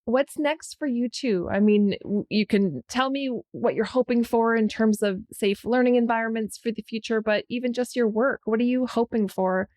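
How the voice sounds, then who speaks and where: A woman's voice: a little high, moderate pace, hardly any pauses, no echo. Reflective woman podcast host. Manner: warm, reflective, thinks aloud and checks in. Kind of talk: conversational interview.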